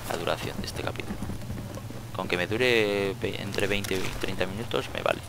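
A man's voice: deep voice, moderate pace, creaky, background noise. A man with an accent from Spain, giving casual gameplay commentary as a channel host.

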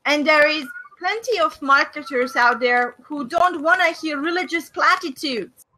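A middle-aged woman from Hungary with a Hungarian accent, hosting a faith and business video call and speaking thoughtfully with many pauses and fillers. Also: high-pitched, pushed and bright, rough recording. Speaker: host of a faith and business discussion. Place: small room indoors. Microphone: webcam on a video call.

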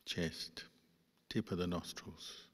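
A man, a Buddhist monk, guiding a meditation in calm, measured phrases with pauses. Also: low voice, breathy edge. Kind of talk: guided meditation instruction. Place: large reverberant hall. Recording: long hall reverb.

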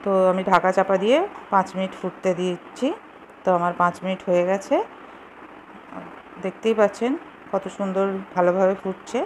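A woman's voice: mid-range voice, little pitch movement, brisk, long pauses, a little mellow. A woman giving casual, step-by-step cooking instructions in simple words, as host of a home recipe video. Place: home kitchen. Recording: clearly noisy home kitchen recording.

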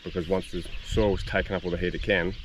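A man's voice: low voice, flat delivery, fast, slight background noise, low-quality recording. Easygoing Aussie man explaining camp cooking. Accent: Australian. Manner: easygoing, explains things step by step. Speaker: camping companion. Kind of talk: casual outdoor chat. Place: outdoors in the bush.

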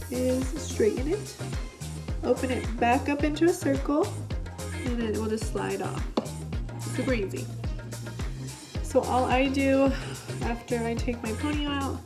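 A young woman giving a casual, informal demonstration in a chatty way, recorded indoors in a living room. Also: fairly high pitch. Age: young adult. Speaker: product presenter. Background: music in the background.

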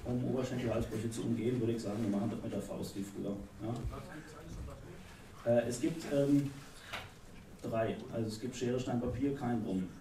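A man's voice: medium pitch, moderate pace, long pauses. A middle-aged man hosting a live poetry slam on a theatre stage, playful as he explains the rules and announces acts. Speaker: slam host. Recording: echoey hall from a distance, audible noise.

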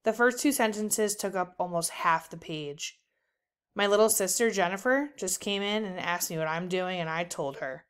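A woman's voice: on the low side, normal speed, a bit bright, clear, resonant tone. A woman narrating a children's book aloud as a storyteller.

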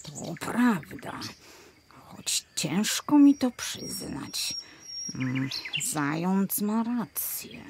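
A woman voicing a stern, motherly female bear in a children's radio play, speaking slowly with a gravelly tone. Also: medium pitch, sing-song, in a whisper, full character voice. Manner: slow, scolding then tender. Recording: noisy background.

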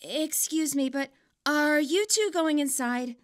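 Woman voicing a high-pitched, shy, hesitant girl. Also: takes it slowly. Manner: hesitant, muttering. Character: shy, quiet short girl in disguise, awkwardly hesitant.

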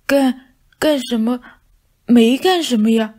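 A woman voicing a big fat male cat in a storybook, blustering and stammering, sly yet soft at heart. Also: fairly high pitch, takes it slowly, voice pushed up. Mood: flustered bluster.

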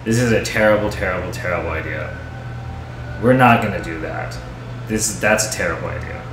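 Low-voiced rambling man, noisy roomy recording. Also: brisk. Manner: rambling, muttering to himself, frequent swearing. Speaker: live-stream host. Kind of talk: casual live cooking commentary, thinking aloud. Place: home kitchen, indoors. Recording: roomy, distant mic with loud noise, clicks.

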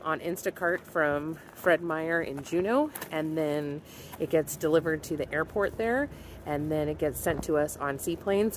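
A woman in her forties giving a chatty, explanatory walkthrough with frequent ums, filmed on a phone at arm's length outdoors and in a store. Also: deep voice. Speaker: vlogger. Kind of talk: casual vlog walkthrough. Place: outdoors in a parking lot, then inside a grocery store. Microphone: phone held at arm's length. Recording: loud background noise.

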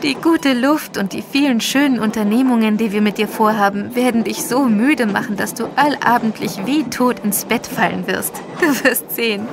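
A woman's voice: on the high side, moderate pace, hardly any pauses. A woman playing a kind, reassuring young woman in a radio drama. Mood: cheerful, reassuring. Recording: clear background ambience noise.

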